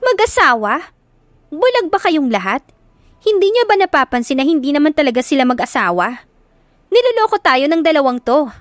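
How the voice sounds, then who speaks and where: A woman voicing a jealous young rival who speaks in a shrill, accusing way. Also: very animated, moderate pace, long pauses, no echo. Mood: indignant. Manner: shrill, indignant.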